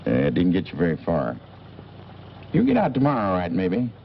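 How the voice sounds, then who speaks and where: Small-town local, deep lively voice, old noir film, muffled hissy soundtrack. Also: male voice, moderate pace. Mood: gruff small talk. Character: plain small-town local man giving a stranger a lift. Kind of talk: 1940s film noir acting.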